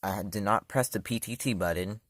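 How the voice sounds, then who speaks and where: A man's voice: on the low side, normal speed, fairly bright tone, a little hiss or hum. A man casually demoing a product, talking loosely with many fillers and self-corrections. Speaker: product reviewer. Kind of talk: casual hands-on demo.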